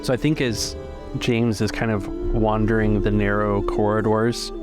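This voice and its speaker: A man acting as game master, narrating an improvised tabletop game in measured phrases with pauses between them. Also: on the low side, little pitch movement. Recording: music bed behind the voice.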